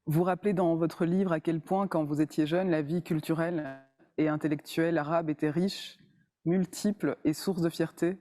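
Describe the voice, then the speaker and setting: Female interviewer, hesitant with many pauses, warm low-toned voice. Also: steady tone, faint music behind. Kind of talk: interview questions.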